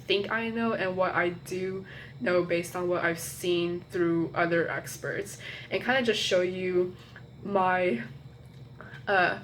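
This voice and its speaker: A young woman with a low, slightly nasal voice talks casually into her phone camera as a college student vlogger. She hesitates, apologizes, and restarts her sentences often. A faint noise sits under the recording. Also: steady tone, slow pace, poor audio. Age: young adult. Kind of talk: casual rambling chat to camera.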